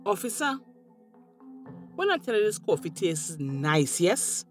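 An actress playing a cheeky, stalling village gossip in a radio drama, with a Tobagonian accent and a teasing, drawn-out way of talking. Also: female voice, fairly low pitch, sing-song, soft background music. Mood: coy, stalling.

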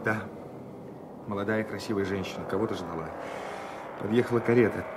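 An actor playing a distraught young musketeer in love in a radio drama, speaking in anguished, broken phrases. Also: male voice, lowish voice, a little fast, heavy background noise, natural voice. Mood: anguished, despairing.

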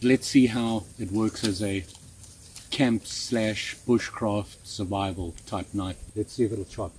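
A man in his sixties giving a relaxed, chatty hands-on knife review outdoors in the woods, with plenty of fillers and restarts. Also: lowish voice, slow, very noisy. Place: outdoors in woods, kneeling in the undergrowth.

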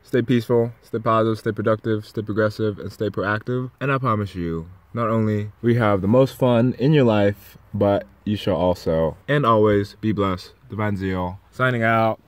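Young guy vlogging in his van on a phone, low voice, chill and rambly, lots of little laughs. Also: moderate pace, few pauses, no room sound. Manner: relaxed, rambling, laughs between words. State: tired and tipsy late at night. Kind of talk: casual vlog to camera. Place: inside his parked van. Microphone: phone camera at arm's length.

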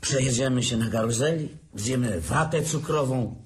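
A man's voice: medium pitch, moderate pace, close to an everyday voice. An actor playing a bitter, drunk older brother who mocks and laughs at his sibling in a radio drama. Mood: taunting. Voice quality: sneering, laughs often.

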